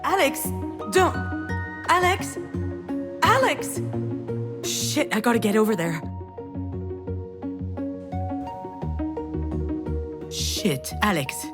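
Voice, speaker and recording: A woman's voice: fairly high pitch, big pitch swings, takes it slowly, long pauses. An actress playing a troubled, introspective woman in a narrative video game, speaking earnestly in dialogue and inner monologue. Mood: alarmed. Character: troubled woman haunted by crash, anxious, introspective. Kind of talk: acted visual novel dialogue and inner narration. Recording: music behind the voice.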